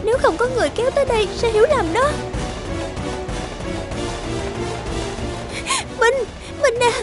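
Casting: submissive young wife, animated folktale, very high pleading voice, music behind. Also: female voice, racing. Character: meek, pleading, ill-treated young village wife.

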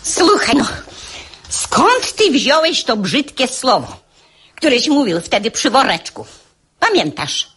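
A woman playing a sharp-tongued, commanding grandmother in a radio drama. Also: sits high, very animated, slow pace, full character voice. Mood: scolding. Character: strong-willed, sharp-tongued grandmother of a small boy.

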